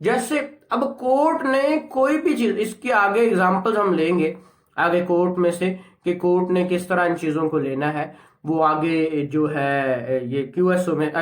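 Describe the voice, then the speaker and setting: A man with a Pakistani Punjabi accent giving an informal law lecture, explaining step by step and repeating his points. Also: fairly high pitch. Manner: repetitive explaining, reads English legal phrases out very slowly. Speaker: law teacher. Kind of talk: informal lecture, explaining statute clauses with everyday examples. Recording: echoey room.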